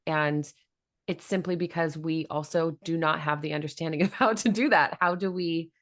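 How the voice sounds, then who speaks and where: A woman with a notably low voice hosts a casual interview podcast. She thinks aloud and builds long, reflective questions for her guest. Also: fairly even intonation, moderate pace. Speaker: podcast host.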